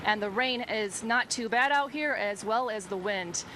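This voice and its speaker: A woman's voice: medium pitch, normal speed. A woman TV news reporter giving an informal, ad-libbed live weather report from outdoors. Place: outdoors by the shore. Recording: faint outdoor storm-day noise.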